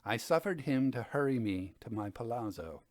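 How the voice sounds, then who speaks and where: A man giving a dramatic reading of gothic fiction, with theatrical, deliberate phrasing. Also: medium pitch, lively intonation, darkish tone, no echo. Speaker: storyteller reading stories by request.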